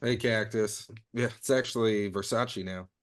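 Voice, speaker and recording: A man's voice: fairly low pitch, fairly even intonation, measured pace, lightly acted. Brash streetwise crew boss, acted by a man. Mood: businesslike. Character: brash streetwise male crew leader recruiting his old gang. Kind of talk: virtual table read of a comedy script. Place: remote video call.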